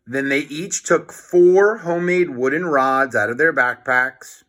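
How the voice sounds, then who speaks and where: A man narrates a children's storybook at a slow, deliberate pace. His voice is bright and mid-pitched, expressive, and he adds plain explanatory asides as he reads. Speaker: storybook reader.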